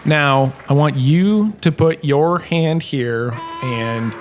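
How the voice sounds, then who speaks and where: An actor playing a washed-up former star turned lecturer, giving a breezy, joking lecture in a comic audio drama. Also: male voice, fairly high pitch, takes it slowly, a faint crowd, slight background noise. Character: washed-up former star, now a lecturer, chatty and self-important.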